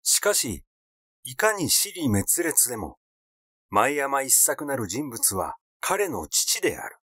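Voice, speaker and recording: A man narrates literary fiction in a measured storytelling manner. His mid-pitched voice moves in a lively way across its range, and the recording is dry, with hardly any room sound. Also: dry recording. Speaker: audiobook reader. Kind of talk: scripted literary narration, reading a story aloud.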